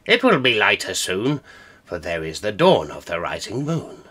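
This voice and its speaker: A man voicing a wicked, gleeful pirate captain in a ballad, loud, commanding and theatrical. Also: fairly low pitch, sing-song, moderate pace, breathy edge, exaggerated voice. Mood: confident. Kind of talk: dramatic ballad recitation.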